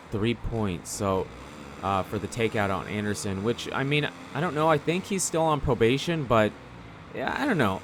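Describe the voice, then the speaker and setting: A man's voice: fairly low pitch, fairly bright tone, slight background noise. A man giving casual gameplay commentary in a rambling, conversational, slangy way. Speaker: gaming video commentator.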